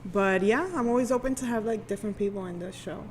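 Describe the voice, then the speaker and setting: A woman's voice: mid-range voice, quick pace, darkish tone. A woman radio host chatting casually as a guest, with informal, slangy phrasing peppered with filler words like "you know". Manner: informal, slangy, frequent 'like' and 'you know'. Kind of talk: casual chat. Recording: background room noise.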